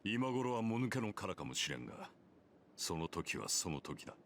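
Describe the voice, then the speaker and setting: A man playing a grim, composed priest in a Japanese visual novel, speaking in a measured, authoritative, matter-of-fact way. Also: lowish voice, big pitch swings, a bit bright, a little hiss or hum. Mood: composed, instructive. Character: grim, composed adult male priest with cold pragmatism.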